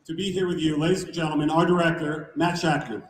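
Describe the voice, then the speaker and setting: A man's voice: on the high side, little pitch movement, quick pace. A man presenting on stage at a live event, making introductions to an audience. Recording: roomy, noisy live hall sound.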